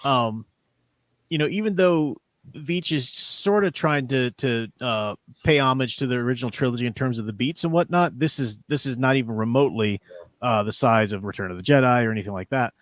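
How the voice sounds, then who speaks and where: A man's voice: mid-range voice, a little fast, dry recording, clean studio sound. A man co-hosting a casual livestream discussion, explaining things in a rambling, conversational way. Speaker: livestream co-host. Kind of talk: casual book and comics discussion.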